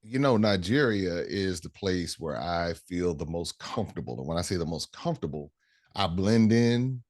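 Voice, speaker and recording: Low-voiced earnest American man. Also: lively intonation, moderate pace, a little mellow, no room sound, studio quality. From United States. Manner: earnest, emphatic, repeats phrases for stress. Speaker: guest, travel tour organizer. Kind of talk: conversational interview.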